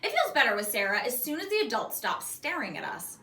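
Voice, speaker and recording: A woman reading a children's novel aloud like a teacher, expressive and animated, acting out the dialogue. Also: a little high, brisk. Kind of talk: expressive storybook read-aloud. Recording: roomy echo, distant mic.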